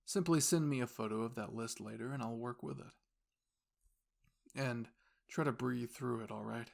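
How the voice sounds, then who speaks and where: A man's voice: mid-range voice, vocal fry, lightly acted. A man voicing a composed, formal young university student and caring boyfriend, speaking intimately and reassuringly to the listener in an audio roleplay. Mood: calm, reassuring. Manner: measured, formal, gently reassuring phrasing.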